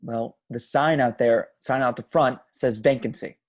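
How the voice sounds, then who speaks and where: A man's voice: mid-range voice, steady tone, a little slow, a little mellow, dry recording, clean studio sound, lightly acted. A man at a home table read playing a troubled young city man, hesitant and naturalistic. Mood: agitated.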